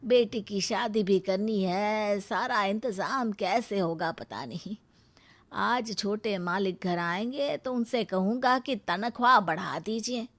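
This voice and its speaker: A woman's voice: mid-range voice, slow pace, pitched below the performer's own voice. A woman voicing a poor middle-aged male servant who grumbles about his pay and stammers when he is caught. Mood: worried. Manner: pleading, stammering, grumbling under his breath. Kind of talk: cartoon story voice acting.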